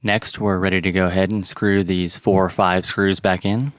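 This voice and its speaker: Low-voiced man giving repair instructions. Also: flat delivery, moderate pace, dry recording, clean studio sound. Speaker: how-to presenter. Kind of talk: step-by-step repair tutorial narration.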